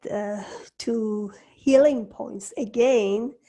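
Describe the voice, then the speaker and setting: A woman hosting her show speaks with a slightly high voice, her English that of a Persian native speaker. She is eager and admiring, phrasing things loosely and laughing between questions. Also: drawn-out words, mellow. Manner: enthusiastic, non-native phrasing, laughs often. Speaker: host. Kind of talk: interview hosting.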